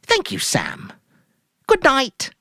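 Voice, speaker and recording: A narrator voicing a housewife who speaks in a bright, sing-song way with put-on cheer. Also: high voice, wildly swooping pitch, takes it slowly, vocal fry, no room sound, clean studio sound, pitched above the performer's own voice, exaggerated voice. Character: Mary Maloney, bright falsely cheerful housewife.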